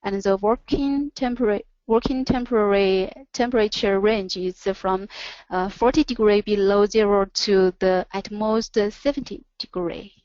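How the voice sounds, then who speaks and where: Halting non-native woman presenter, dull audio. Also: medium pitch, steady tone, a little mellow, no echo. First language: not English. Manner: halting, pausing between short phrases. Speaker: webinar presenter, company product representative. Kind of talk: product presentation over slides.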